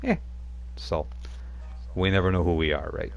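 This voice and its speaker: Guy doing a joking old spirit guide reverend, kinda low voice, super casual. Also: sing-song, normal speed, lo-fi sound. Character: jokey older male spirit guide, reverend type.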